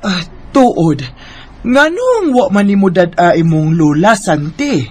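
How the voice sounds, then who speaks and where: A woman playing an affectionate, sentimental middle-aged mother in a radio drama, with drawn-out emotional delivery. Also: lowish voice, sing-song, a little mellow, husky, exaggerated voice. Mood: concerned.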